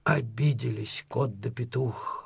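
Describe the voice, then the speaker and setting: A man's voice: medium pitch, slow pace, vocal fry, husky, no room sound, clean studio sound. A man narrating a children's fairy tale in a folksy, theatrical storytelling style.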